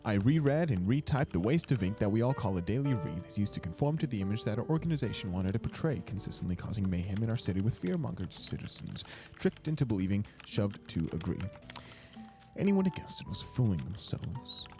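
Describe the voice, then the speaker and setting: A man's voice: fairly low pitch, big pitch swings, a little fast. A man narrating first-person fiction from a script in a wry, world-weary way, as an amateur audiobook reader. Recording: music behind the narration. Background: instrumental music.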